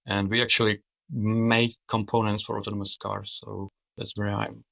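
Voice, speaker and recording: A low, gravelly man from the Czech Republic talks as a guest over a video call. His voice is bright but flat in pitch, and he keeps restarting words as he explains. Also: normal speed. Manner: hesitant, frequent restarts and fillers. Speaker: guest, autonomous vehicle expert. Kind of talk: conversational interview.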